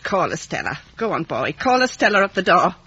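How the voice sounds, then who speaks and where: Old lady, bitter, imperious, raspy low voice, dramatic swings, muffled old radio play. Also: sing-song, a little fast, bright, forceful tone, exaggerated voice. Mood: cold, commanding. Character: bitter, weary, commanding rich old lady recluse. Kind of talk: 1950s radio drama acting. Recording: dull vintage radio broadcast.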